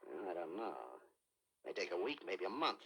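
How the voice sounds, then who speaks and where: An actor playing a shifty, money-grubbing private snoop with slangy, wheedling delivery in a vintage radio crime drama. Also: male voice, low voice, lively intonation, normal speed, a bit bright, breathy edge, heavily acted. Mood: cagey, grudging. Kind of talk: 1950s radio crime acting.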